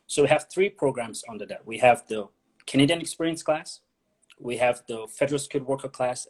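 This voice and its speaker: Nigerian-born guy, husky voice, explains step by step, restarts a lot. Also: medium pitch. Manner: explanatory, repeats words while thinking. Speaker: immigration consultant. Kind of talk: interview guest explaining.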